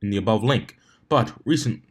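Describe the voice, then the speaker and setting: A man's voice: lowish voice, moderate pace. A man narrating a scripted fan fiction story as its reader.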